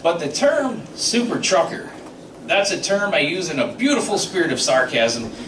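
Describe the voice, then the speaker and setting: A man's voice: fairly high pitch, sing-song, moderate pace, background noise. A middle-aged man doing a stand-up comedy set about trucking, telling stories with sound effects and asides to the crowd. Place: small comedy club stage. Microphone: handheld mic, filmed from the audience. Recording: echoey club from far off. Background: audience laughter.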